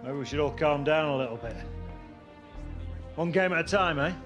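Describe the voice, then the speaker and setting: A man's voice: a little high, normal speed, music in the background, natural voice. An actor playing a commanding, jovial middle-aged football manager, rousing and fatherly, in a game cutscene. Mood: steadying. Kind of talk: acted game cutscene dialogue.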